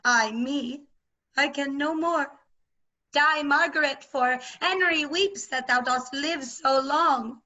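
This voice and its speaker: Proud medieval queen, played by a woman, bright forceful voice, slow verse delivery, stage drama, dull video-call sound. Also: high voice, full character voice. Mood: anguished reproach. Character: proud, passionate medieval queen pleading with her husband. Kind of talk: classical verse stage acting. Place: remote staged reading over video call.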